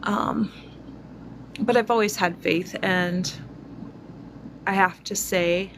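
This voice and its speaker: Slow-spoken woman in her forties. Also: medium pitch, steady tone, noisy background. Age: 40s. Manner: slow, reflective, many fillers. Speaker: spiritual vlogger. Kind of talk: personal testimony to viewers.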